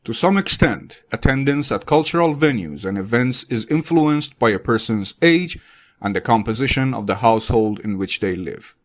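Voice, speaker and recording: A man's voice: mid-range voice, animated, moderate pace. A man reading formal educational passages aloud as scripted narration. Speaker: pronunciation practice narrator.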